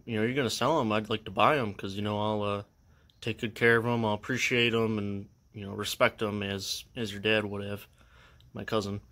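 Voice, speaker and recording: Halting low-voiced man vlogging. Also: fairly bright tone. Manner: halting, many fillers, unsure. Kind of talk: casual show-and-tell vlog. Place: indoors at a house.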